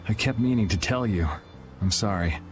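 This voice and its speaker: A man playing a calm, blunt young vigilante swordsman in a video game, laid-back and matter-of-fact. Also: lowish voice, normal speed, faint music behind, close to an everyday voice. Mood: grave, resolute.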